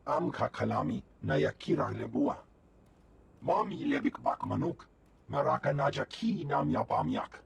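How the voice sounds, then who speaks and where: Game alien villager: heavy gravel, very raspy, invented alien speech. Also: male voice, medium pitch, full character voice. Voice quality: gravelly, rasping. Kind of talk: video game voice acting.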